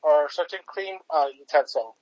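Man, high-pitched co-host, halting delivery with stacked fillers, dry lo-fi sound. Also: darkish tone, no echo. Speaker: podcast co-host. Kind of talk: casual political chat.

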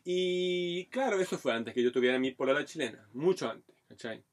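A man's voice: fairly high pitch, expressive, moderate pace. Brazilian man telling frank stories. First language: Portuguese. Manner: frank storytelling, heavy Chilean slang. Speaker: podcast co-host. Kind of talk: casual chat.

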